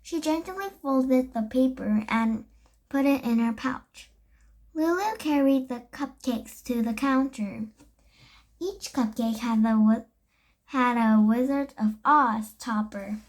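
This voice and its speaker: A young girl reading a children's story aloud, haltingly, with stumbles and self-corrections. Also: on the high side. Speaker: storybook reader.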